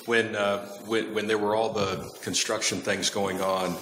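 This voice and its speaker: A man's voice: fairly low pitch, little pitch movement, a little slow. A man moderating a staff forum, casual and joking, rambling through his points in a meeting room. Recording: very echoey hall with noise.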